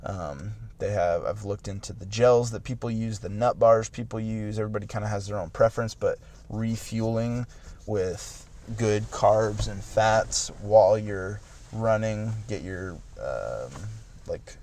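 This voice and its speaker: A man's voice: lowish voice, a little slow, darkish tone. A man in his late twenties rambling in casual podcast chat, full of "like" and restarts. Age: late 20s. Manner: rambling, filler-heavy with "like" and restarts. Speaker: podcast co-host.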